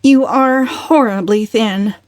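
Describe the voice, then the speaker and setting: A woman's voice: a little high, takes it slowly, dry recording, studio quality, voice pushed up. A woman voicing a blunt, disapproving middle-aged aunt with a cutting delivery in a storybook reading. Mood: scolding.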